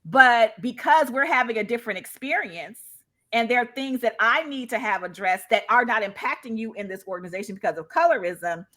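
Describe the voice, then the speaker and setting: A woman's voice: on the high side, expressive, a little fast, a bit bright. A woman speaking as a guest in a live discussion, conversational and emphatic, often checking in as she shares her experience. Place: live online video call.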